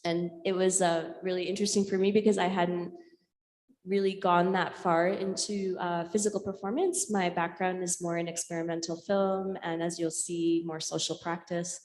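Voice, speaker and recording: Echoey, even-toned woman giving an artist talk. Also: fairly low pitch. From India. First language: Urdu. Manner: hesitant, reflective, many pauses with filled ums. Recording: echoey hall with long reverb.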